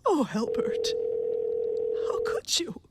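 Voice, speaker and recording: A woman's voice: slow, very noisy, close to an everyday voice. A woman playing an anxious, imperious, self-pitying invalid wife in a radio thriller, with dramatic gasps and a demanding manner. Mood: frantic despair.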